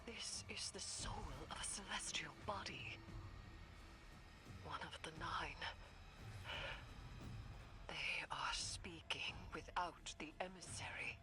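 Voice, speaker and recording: A woman playing a grave, wise mystical seer in a video game, speaking in a solemn, formal, measured manner. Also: lowish voice, in a whisper. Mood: solemn, ominous. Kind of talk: dramatic scripted game acting.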